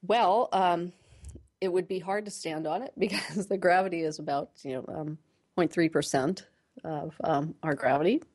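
A woman with a slightly low voice explains science as an interview guest. She is measured and clear in her wording, pausing mid-phrase now and then as she picks her terms. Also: steady tone, a little mellow.

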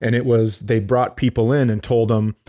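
Man, middle-aged, average pitch, casual comic storyteller, rambling, joking, podcast co-host. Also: flat delivery, moderate pace, warm tone. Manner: rambling, jokey.

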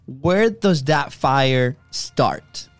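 A man's voice: medium pitch, lively intonation, extremely slow, no room sound, faint music behind. A man co-hosting a live podcast in a casual, effusive way, often repeating words and phrases. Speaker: podcast co-host.

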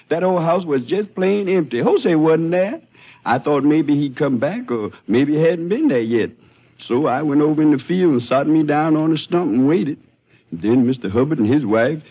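An actor playing a humble, anxious drifter with a folksy, deferential drawl in a 1950s radio western, on a muffled old recording. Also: male voice, fairly high pitch, animated, normal speed. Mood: earnest, defensive.

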